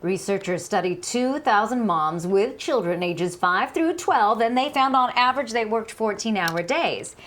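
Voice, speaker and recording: A woman's voice: medium pitch, animated, measured pace, hardly any pauses, fairly bright tone. A woman anchoring morning news, reading stories and chatting casually.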